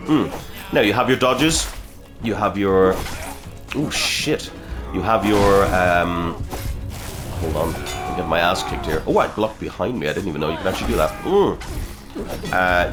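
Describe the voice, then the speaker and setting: Brief: low-voiced male game host, a bit sniffly from a cold, casual and swearing, faint game music underneath. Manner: chatty, swears, reacts aloud while fighting. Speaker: gaming video host. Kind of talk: casual gameplay commentary.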